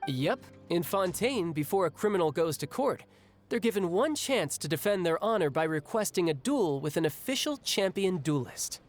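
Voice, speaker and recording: An actor playing a young, restless, battle-hungry warrior in a video game, speaking in a breezy, reflective way. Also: male voice, high voice, lively intonation, moderate pace. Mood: explanatory. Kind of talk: video game cutscene acting. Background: game music.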